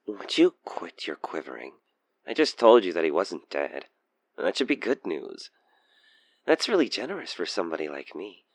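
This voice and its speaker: A man's voice: low voice, creaky, no echo, heavily acted. A man playing an obsessed, taunting stalker killer in an intimate audio roleplay, theatrical and teasing as he slips between murmurs and whispers. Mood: smug, threatening. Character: obsessed male stalker killer, playfully menacing, infatuated, taunting.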